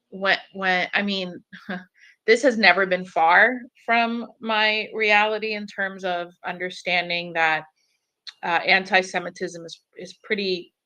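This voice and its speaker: A woman's voice: lowish voice, bright, forceful tone, poor audio. Impassioned woman born in Egypt. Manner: impassioned, searching for words. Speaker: panelist. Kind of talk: panel discussion.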